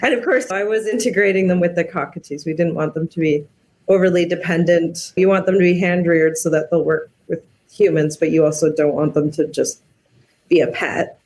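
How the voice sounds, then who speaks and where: A woman's voice: fairly low pitch, steady tone, fairly quick, darkish tone. A woman speaking as a researcher on a panel, telling stories in an informal, chatty, conversational way. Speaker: cockatoo researcher, panel guest. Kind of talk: casual conversational panel talk.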